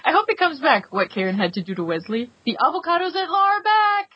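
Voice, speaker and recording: A woman's voice: sits high, very animated, fast talker, dry recording. A woman reading a listener's email aloud, stumbling over it and laughing. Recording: dry, dull lo-fi audio.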